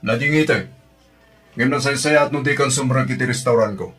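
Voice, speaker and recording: A man's voice: medium pitch, normal speed, fairly bright tone, close to an everyday voice. A man playing a stern uncle, a business owner, in a radio drama, firm and accusatory. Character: stern, accusing uncle who owns a restaurant. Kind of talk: radio drama acting in Ilocano.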